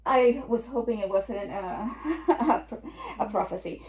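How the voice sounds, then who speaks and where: A woman in her forties with a Puerto Rican accent gives a seminar talk as an estate planning attorney, chatty and given to joking asides. Her voice sits a little high and carries the echo of a roomy meeting room. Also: measured pace, darkish tone. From New York. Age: 40s. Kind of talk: seminar presentation.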